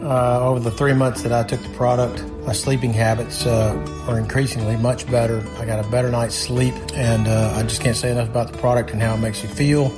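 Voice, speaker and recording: A man's voice: medium pitch, little pitch movement, brisk, few pauses, over music. A man giving a hesitant, unpolished personal testimonial for a radio ad. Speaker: product testimonial speaker.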